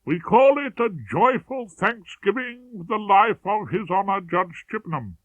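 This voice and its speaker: A man's voice: high-pitched, animated, a little slow, fairly bright tone, dry recording, voice pushed up, heavily acted. A man voicing a genial, effusive English clergyman, unctuous and ceremonious, in an audiobook. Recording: narrowband, tinny radio-like sound.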